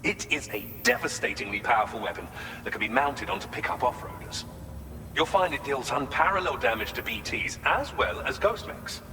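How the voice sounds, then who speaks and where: An eager, courteous researcher for a video game cutscene. His mid-pitched voice moves in very animated swings as he explains things in formal, articulate language. The sound carries a long reverb over clearly audible background noise. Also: fairly quick. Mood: measured, explanatory. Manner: formal, articulate, erudite phrasing. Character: courteous, eager male vehicle and weapons researcher. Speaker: researcher character.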